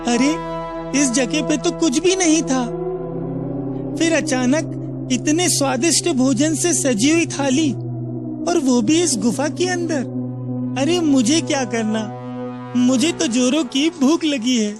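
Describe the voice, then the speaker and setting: A man voicing a simple, naive young village goatherd in an animated moral story, innocent and full of wonder. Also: very high voice, slow, pauses often, music in the background, pitched above the performer's own voice. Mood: puzzled wonder.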